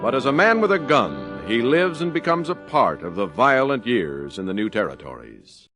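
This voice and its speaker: A male announcer introducing an old radio western in a grand, measured style. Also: mid-range voice, wildly swooping pitch. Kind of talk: 1950s radio show announcing. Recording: old radio broadcast over loud music.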